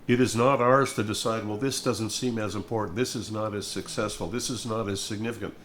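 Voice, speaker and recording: Low-voiced older man, earnest. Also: fairly even intonation, brisk, fairly bright tone, a little hiss or hum. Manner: earnest, preachy, repeats phrases for emphasis. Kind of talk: reflective devotional conversation.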